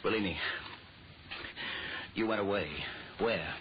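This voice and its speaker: A man acting in an old-time radio horror drama as an intense, power-hungry man with a halting, urgent delivery, on a vintage radio recording. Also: fairly low pitch, dull audio, a little hiss or hum. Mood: panicked. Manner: halting, repeats words urgently.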